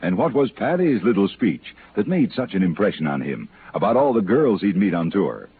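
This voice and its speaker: A man's voice: sits low, big pitch swings, normal speed, a little hiss or hum. A man working as a radio announcer, reading scripted narration and ads in a 1950s style. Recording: tinny old radio broadcast with music.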